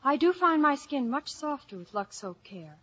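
A woman's voice: high-pitched, sing-song, normal speed, a bit bright. A woman giving a scripted testimonial in a 1950s radio commercial. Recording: dull old radio recording.

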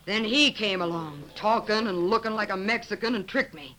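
A woman's voice: medium pitch, moderate pace, bright, forceful tone, natural voice. An actress playing a tough, sardonic, slangy woman who leads an outlaw gang in a 1950s radio western. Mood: rueful, smitten. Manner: slangy, hard-bitten. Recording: noisy 1950s radio recording.

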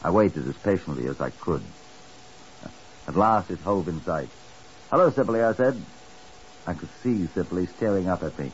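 An actor playing a dry, methodical British chief inspector in a 1950s radio drama, measured, deliberate and faintly sardonic. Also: male voice, low voice, lively intonation. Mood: musing, deliberate. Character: dry, methodical police chief inspector narrating his case. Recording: muffled, hissy 1950s radio recording.

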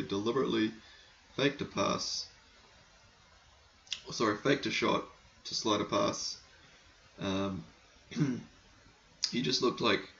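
A man with a low, heavily gravelly voice that is going hoarse hosts a casual hockey podcast. He rambles through listener questions in a frank, chatty way and keeps clearing his throat. The recording is slightly muffled, with faint background noise. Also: a bit bright. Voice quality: gravelly, croaky.

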